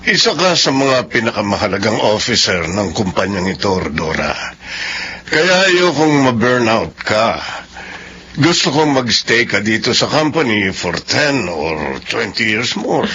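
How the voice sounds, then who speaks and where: An actor playing a considerate, authoritative company boss in a radio drama, with a coaxing paternal manner. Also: male voice, fairly low pitch, very animated, measured pace, bright, forceful tone, slightly rough, exaggerated voice. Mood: supportive. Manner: coaxing, paternal, code-switching into English. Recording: muffled, noisy radio drama recording.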